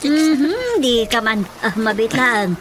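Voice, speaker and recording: A woman's voice: on the high side, big pitch swings, slow, very noisy. A woman acting a practical, motherly aunt in a radio comedy drama. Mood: instructing. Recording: loud background music.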